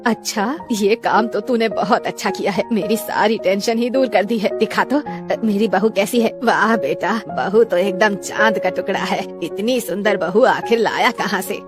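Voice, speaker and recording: A woman's voice: mid-range voice, moderate pace, hardly any pauses, over music, close to an everyday voice. A woman voicing a scolding, mocking mother-in-law in a cartoon story. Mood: pleased. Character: scolding middle-aged mother-in-law, sharp-tongued. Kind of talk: cartoon voice acting.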